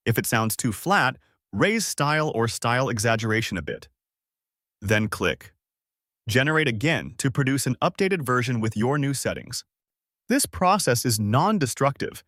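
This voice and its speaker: A man reads scripted tutorial narration with animated, varied intonation at a middling pitch. He explains each step in an orderly, instructional manner. The sound is close and very dry. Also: moderate pace, dry recording.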